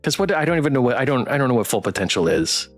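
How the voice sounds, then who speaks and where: A man hosting a casual game livestream, reading text aloud and chatting with playful, expressive reactions. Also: medium pitch, very fast, soft background music. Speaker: game streamer and host.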